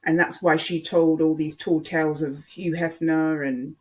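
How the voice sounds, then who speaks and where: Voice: mid-range voice, level tone, measured pace. A video creator talking in a casual, rambling way, hesitant and often repeating words for emphasis.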